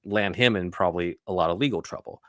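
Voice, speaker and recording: A man's voice: low-pitched, quick pace, fairly bright tone, dry recording, clean studio sound. A man talking casually and emphatically as a podcast host, in a conversational way. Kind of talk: casual film discussion.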